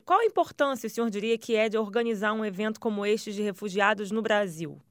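A woman's voice: mid-range voice, fast, dry recording, clean studio sound. A woman interviewing a guest for radio, asking formal, polite questions.

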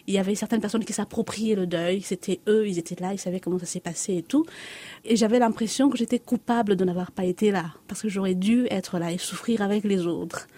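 A woman in her thirties, from Congo-Kinshasa, giving reflective interview answers as an artist, measured and building on repeated phrases. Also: medium pitch, steady tone, mellow, husky.

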